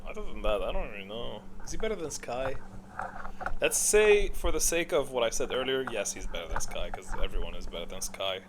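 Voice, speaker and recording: A man's voice: mid-range voice, very animated, a little fast, background noise. A man giving blunt, casual, rambling commentary on game characters, thinking aloud and second-guessing himself. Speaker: gaming content creator.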